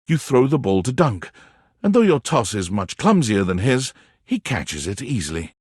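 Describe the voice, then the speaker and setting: A man playing a wry, theatrical narrator of a playful dating game, telling scenes in the second person with expressive, dramatic delivery. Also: mid-range voice, moderate pace, slightly rough, no echo, clean studio sound. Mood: gentle storytelling.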